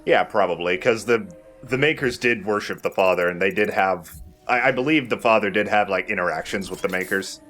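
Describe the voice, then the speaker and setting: A man's voice: lowish voice, a little fast, soft background music. A man giving casual let's play commentary, explaining things in a conversational way as he plays. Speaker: let's play host, playing the game. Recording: noisy mic with steady background noise.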